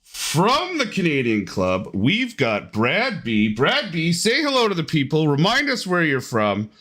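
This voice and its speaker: Lively man hosting game commentary. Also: a little high, unhurried. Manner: upbeat, lively play-by-play. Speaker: tournament host and commentator.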